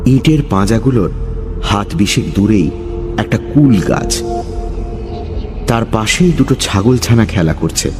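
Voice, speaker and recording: A man narrating a scripted horror story dramatically, with suspenseful pauses. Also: on the low side. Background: music.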